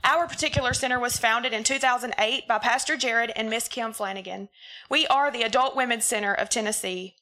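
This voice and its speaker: Bright-voiced Mississippi woman in her thirties. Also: a little high. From Mississippi, USA. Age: 30s. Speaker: program spokeswoman. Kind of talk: introducing a testimony program.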